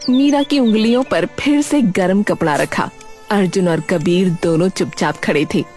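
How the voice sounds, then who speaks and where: A woman narrating a scripted cartoon story in an expressive storytelling style. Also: medium pitch, moderate pace, faint music behind.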